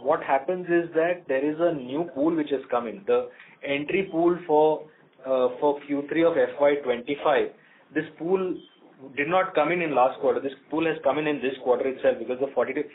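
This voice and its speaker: A man with an Indian accent answering analysts as a company executive, speaking off the cuff in a halting way and repeating words. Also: fairly high pitch. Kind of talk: unscripted earnings call answers. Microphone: telephone conference call. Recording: narrow, tinny phone conference line.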